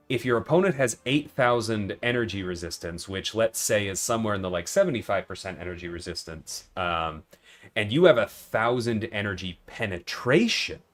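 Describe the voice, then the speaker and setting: A man livestreaming a game, giving casual commentary and explaining things in detail, often checking in with "right?". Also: on the low side. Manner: explanatory, tags points with "right?".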